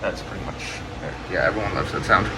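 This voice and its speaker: A man's voice: low voice, fairly quick, heavy background noise. A man giving a casual, unscripted show-and-tell as a hobbyist, rambling offhandedly and correcting himself as he goes. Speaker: hobbyist collector showing weather radios.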